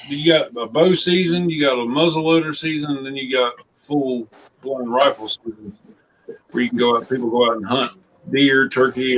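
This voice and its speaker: A boisterous man, chatting loudly and laughing. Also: mid-range voice, low-quality recording. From North Carolina, US. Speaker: guest. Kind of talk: casual chat. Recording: faint background noise.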